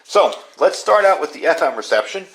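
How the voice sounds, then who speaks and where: A man's voice: on the high side, big pitch swings, fairly quick. A man giving a casual hands-on product review in an informal, conversational way, recorded in his garage studio. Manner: plain-spoken, informal, conversational.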